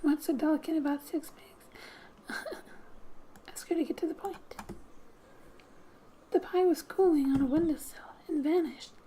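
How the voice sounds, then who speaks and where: A woman hosts a quiet gaming ASMR read-through in a high, mellow voice. She speaks softly and breathily, often slipping toward a whisper. Her delivery is gentle and unhurried, with playful asides, over background music. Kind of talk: soft-spoken ASMR game narration, reading text aloud with asides.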